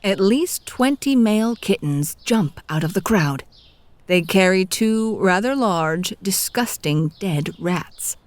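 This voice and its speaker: A woman's voice: medium pitch, animated, takes it slowly. A woman playing a wry, theatrical storyteller, narrating a scripted audio drama in a confiding, grandiose manner. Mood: wry, dramatic.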